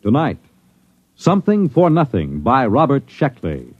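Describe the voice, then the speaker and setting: A man's voice: wildly swooping pitch, unhurried. Dramatic old-time radio announcer, a man. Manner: booming, dramatic. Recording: dull archival radio recording with music.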